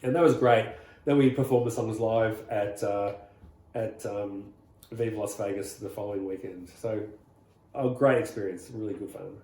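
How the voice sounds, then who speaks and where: A middle-aged Australian man, a singer being interviewed, recalling stories in a rambling, relaxed way with many fillers and restarts. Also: fairly low pitch, moderate pace, reverberant. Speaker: rockabilly singer, guest. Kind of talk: casual interview storytelling.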